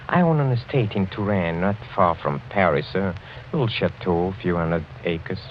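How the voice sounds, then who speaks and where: Sinister European count, very low voice, courtly, insinuating, vintage radio drama, muffled recording. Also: male voice, a little hiss or hum. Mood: guarded, measured. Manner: courtly, insinuating, halting, with soft chuckles. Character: sinister, suave older European count, protective uncle. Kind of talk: 1940s radio acting. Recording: muffled old 1940s radio recording, faint music.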